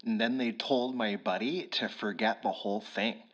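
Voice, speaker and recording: A man playing a gossipy, blunt male police sergeant with a thick Minnesotan accent, talking low and folksy on the phone. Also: steady tone, normal speed, dull audio. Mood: confiding. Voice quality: hushed, folksy, drawling. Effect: heard over the phone.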